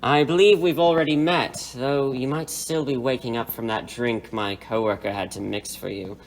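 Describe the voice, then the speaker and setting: A man playing a menacing crime boss in an audio drama, with a mockingly polite, formal, unhurried manner. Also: mid-range voice, steady tone, vocal fry, natural voice, low-quality recording. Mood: mocking menace. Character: suave, menacing male crime boss interrogating captives.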